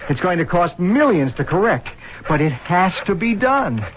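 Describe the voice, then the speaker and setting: An actor playing an anguished, determined man with an urgent, halting manner in a 1970s radio drama. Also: sits high, very animated, a little hiss or hum. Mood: urgent, resolute. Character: anguished, determined man reborn in another man's body. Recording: dull 1970s radio recording.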